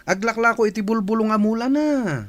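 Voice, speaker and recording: A man's voice: high voice, fairly quick, natural voice. A man acting a skeptical, teasing young son in a radio drama.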